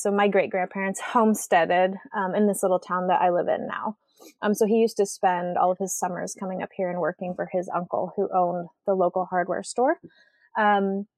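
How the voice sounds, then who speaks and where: Woman from the Pacific Northwest, interview guest, relaxed rambling talk, frequent ums, dry close recording. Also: medium pitch, no room sound. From Western Washington, USA. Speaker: guest, writer and artist. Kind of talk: casual conversational interview.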